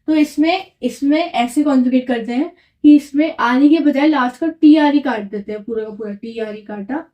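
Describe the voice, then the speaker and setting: A woman's voice: high-pitched, mellow, rough recording. A young woman teaching French grammar, patiently repeating each rule and checking in with "okay" as she writes on a board. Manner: patient, repeats points with frequent "ठीक है" check-ins. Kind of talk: explaining grammar at a whiteboard.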